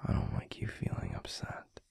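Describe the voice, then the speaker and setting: A man playing a tender, protective werewolf boyfriend in an intimate ASMR roleplay, speaking in a breathy, gravelly, soothing whisper. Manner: soothing, slow, gently coaxing. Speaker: audio roleplay voice actor.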